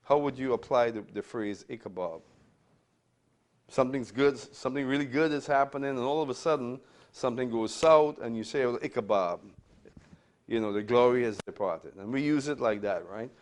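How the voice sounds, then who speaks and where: A man's voice: mid-range voice, moderate pace. An older man from Trinidad, a pastor giving a conversational Bible lecture to a class, often checking in with "right?" and repeating his words.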